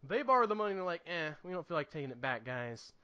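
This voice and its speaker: A man co-hosting a podcast in casual comedic chat, telling stories in an emphatic, animated way with repetition for effect. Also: a little high, quick pace, dull audio. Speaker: podcast co-host.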